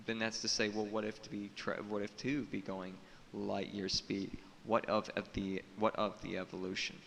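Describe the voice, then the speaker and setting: A man's somewhat low voice with a forceful, bright edge, recorded in a reverberant room over audible hiss. He talks as a solo video blogger in a halting, solemn monologue. His phrasing is cryptic, with words repeated for emphasis. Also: level tone, vocal fry. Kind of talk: unscripted spiritual monologue to camera.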